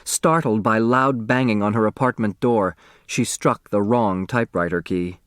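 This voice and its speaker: A man narrating a children's mystery novel as an expressive audiobook reader who acts out the dialogue. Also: on the low side, moderate pace, clean tone, no echo, clean studio sound.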